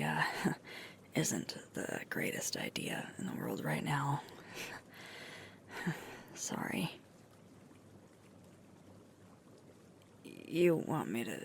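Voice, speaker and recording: Woman acting a creaky, whispery, sweet werewolf girlfriend. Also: sits low, full character voice. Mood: playful, teasing. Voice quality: breathy, creaky, often whispering. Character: sweet, tired, affectionate adult werewolf girlfriend relaxing at night. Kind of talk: intimate ASMR roleplay.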